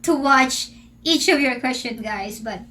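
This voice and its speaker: A woman's voice: high voice, a little slow, bright, forceful tone. A Filipino woman, an English teacher who grew up speaking Filipino, chatting casually in a Q&A vlog, asking questions and stretching her words. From Philippines. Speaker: English teacher and channel host.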